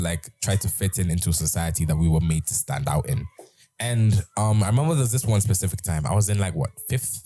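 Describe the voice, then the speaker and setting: A man's voice: deep voice, warm tone. A young British man with a British accent telling a personal story in casual chat, rambling and restarting his sentences. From Britain. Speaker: host.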